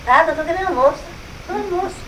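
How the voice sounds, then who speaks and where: An older woman with a Brazilian accent, telling family stories in a lively way that is full of exclamations and repetition. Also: very high-pitched, very fast, a little hiss or hum, rough recording. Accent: Brazilian Portuguese. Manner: lively storytelling, exclaims and repeats herself. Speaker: family elder recalling relatives. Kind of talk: casual family conversation.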